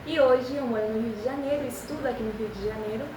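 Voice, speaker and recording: A young woman who is a native Spanish speaker, speaking Portuguese with a Spanish accent and teaching beginners with an encouraging manner, repeating phrases slowly for practice. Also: fairly high pitch, roomy sound, recorded from a distance, noisy background. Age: young adult. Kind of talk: beginner language lesson.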